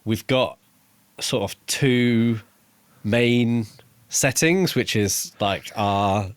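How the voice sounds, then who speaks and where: A man co-hosting a podcast, talking casually about a book in a halting way, building each thought piece by piece. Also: mid-range voice, clean tone, no echo. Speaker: podcast co-host reviewing a book. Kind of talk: casual conversational book discussion.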